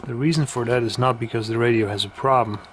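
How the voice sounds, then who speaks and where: A man giving a casual, hesitant product demonstration with halting pauses. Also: medium pitch, fairly even intonation, heavy background noise. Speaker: radio equipment reviewer.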